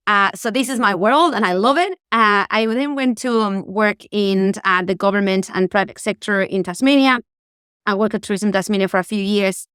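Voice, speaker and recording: Chilean woman on a video call webinar, smooth clear voice, dry sound, lots of ums, eager. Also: mid-range voice, fairly bright tone, no echo. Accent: Chilean Spanish. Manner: enthusiastic, many fillers. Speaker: tourism sustainability presenter. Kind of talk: webinar presentation.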